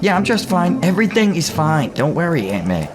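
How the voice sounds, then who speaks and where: Young man, played by an actor, audio drama, awkward, hesitant, apologetic, animated intonation, prominent music underneath. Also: fairly high pitch, brisk. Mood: apologetic, flustered. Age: young adult. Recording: loud music bed behind dialogue.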